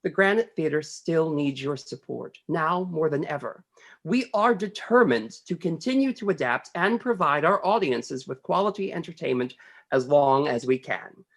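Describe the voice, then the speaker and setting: A woman's voice: deep voice, animated, normal speed. A woman reading a scripted fundraising announcement as a theater company's announcer.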